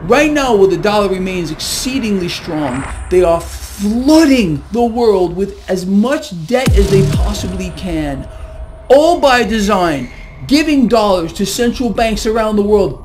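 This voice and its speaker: A man's voice: high voice, animated, a little slow, over music. A man giving urgent political and economic commentary in an emphatic, alarmed manner.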